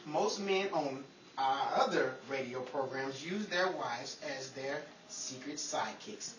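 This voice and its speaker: A performer playing Henry, a bumbling, good-humored male 1950s radio sidekick with a halting, stumbling delivery. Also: mid-range voice, lively intonation. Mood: halting, jovial. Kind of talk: imitation old-time radio banter. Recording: echoey, distant mic, crowd and loud noise.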